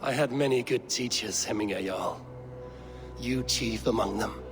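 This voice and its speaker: A man's voice: mid-range voice, over music, natural voice. Man acting a grave Viking leader, reverberant. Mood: solemn. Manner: grave, measured, earnest. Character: stern loyal Viking clan leader, frank friend. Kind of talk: dramatic video game acting. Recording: long reverb, clear ambient noise.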